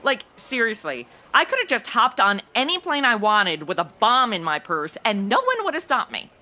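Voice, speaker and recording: Casting: chatty, sarcastic modern American young woman, very animated bright delivery, audio comedy. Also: on the high side, normal speed. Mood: exasperated. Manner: sarcastic, rambling, exaggerated reactions. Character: sassy, chatty modern young woman in an old radio show. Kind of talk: comedy audio drama acting.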